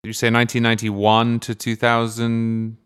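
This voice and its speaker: A man hosting a podcast, asking long, discursive questions with measured pauses. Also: mid-range voice, monotone, fairly bright tone, clear, resonant tone. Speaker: podcast host. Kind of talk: long-form political interview.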